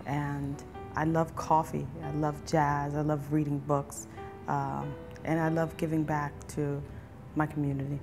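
A woman in her thirties from East Harlem, a cafe owner talking candidly in an interview with lots of fillers and restarts, on a lapel mic in a brick-walled cafe. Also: sits low. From East Harlem, New York.

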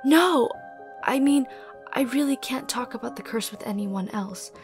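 An anxious young girl in a cartoon drama, guarded and cunning. Her creaky voice slips into breathiness as she pleads earnestly. Music runs beneath the dialogue. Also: a little high, a little slow. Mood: anxious, pleading.

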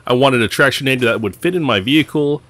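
A man's voice: medium pitch, fast, a bit bright. A man narrating a voice-over in a plain, matter-of-fact way.

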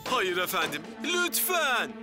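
A man's voice: sits high, wildly swooping pitch, takes it slowly, full character voice. A man voicing an eager, nervous junior firefighter in a children's cartoon, earnest and flustered. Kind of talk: animated children's cartoon dub. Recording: music behind the voice.

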